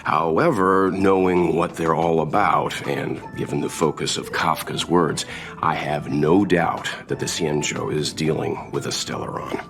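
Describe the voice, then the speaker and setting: A man playing a calm, principled middle-aged mentor in a video game, speaking in a measured, serious, authoritative way. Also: very deep voice. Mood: resolute. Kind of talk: dramatic game voice acting.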